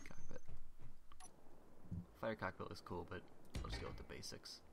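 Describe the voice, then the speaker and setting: A man's voice: deep voice, huge pitch swings, moderate pace, creaky, very noisy. A young man hosting casual gameplay commentary, stuffed up with a cold and rambling as he thinks aloud. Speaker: gaming channel host.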